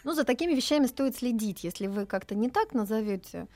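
A woman's voice: on the high side, lively intonation, quick pace, darkish tone. A woman speaking as a wine expert guest on a radio show, explaining patiently with some fillers.

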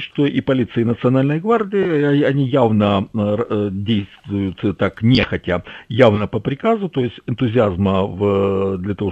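A man giving unscripted political commentary in a halting, deliberate way, with a husky voice, heard over a phone line. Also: on the low side, dry recording. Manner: halting, deliberate, drawn-out hesitations. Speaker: political commentator, guest on a talk show. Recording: tinny, narrow-band phone line.

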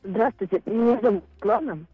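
A woman's voice: mid-range voice, brisk, breathy edge, soft background music. A woman in her forties calling in to a radio show, speaking earnestly and emotionally, in a confiding way. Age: 40s. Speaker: caller. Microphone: telephone. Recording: phone line.

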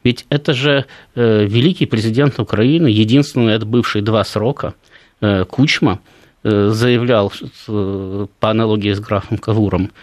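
A man's voice: lowish voice, steady tone, moderate pace, a little mellow, no room sound. A man speaking as a studio guest on a political talk show, hesitant with many fillers and restarts.

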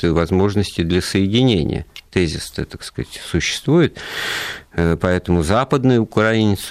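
A male radio host with a low, deep voice talks through the show in a thoughtful, halting way, leaning on fillers as he works out his points. The sound is dry and close, with no room around it. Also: a little mellow, no room sound. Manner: thoughtful, hesitant, with frequent fillers. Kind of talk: live radio talk show discussion. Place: radio studio.

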